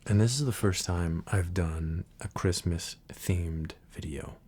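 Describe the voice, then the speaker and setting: Very deep, hushed male ASMR voice. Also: takes it slowly. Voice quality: soft, breathy, husky, sometimes near a whisper. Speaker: ASMR video creator and reader. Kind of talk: soft-spoken ASMR talk and poem reading.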